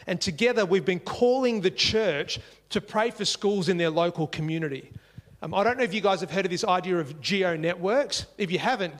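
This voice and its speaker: A man's voice: sits high, big-room sound. A man preaching a sermon in earnest, repeating key phrases for emphasis. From Singapore. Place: church.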